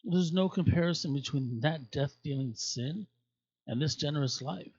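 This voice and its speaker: A man with a husky voice reads scripture expressively, leaning on key words and pausing for effect. His pitch sits in a middle range. The sound is dry and slightly dull, as if the top end were rolled off. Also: a little mellow, no echo. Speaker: scripture reader at a church.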